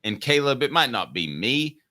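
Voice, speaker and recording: A man's voice: medium pitch, sing-song, normal speed, sharp tone. A man in his fifties hosting a sports talk show, chatty and jokey, asking long questions of his co-host. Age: 50s.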